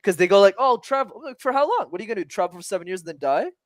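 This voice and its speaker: A man in his twenties, a communication coach talking as a podcast guest, energetic and emphatic, casual with some swearing. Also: high-pitched, very fast, dry recording, studio quality. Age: 20s.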